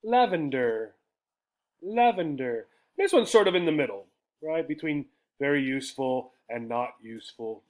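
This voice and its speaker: Higher-voiced American man teaching English vocabulary. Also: expressive, normal speed. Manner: didactic, repeats words and checks with "right?". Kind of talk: vocabulary lesson explained slowly to learners.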